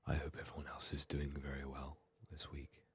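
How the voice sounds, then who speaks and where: A man who is ill and hoarse, speaking in a subdued, halting, soft ASMR murmur that slips into whispers as he narrates a game. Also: very deep voice, completely flat delivery, a little hiss or hum. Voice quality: husky, raspy, breathy. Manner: subdued, hushed, halting with long pauses. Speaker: ASMR gaming streamer.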